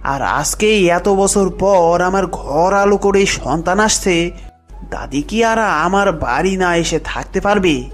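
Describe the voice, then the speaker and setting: A man's voice: high voice, slow, faint music behind, pitched above the performer's own voice. A man playing a devoted, emotional village husband and father-to-be in an audio story drama, speaking earnestly and emphatically. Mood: happy, excited.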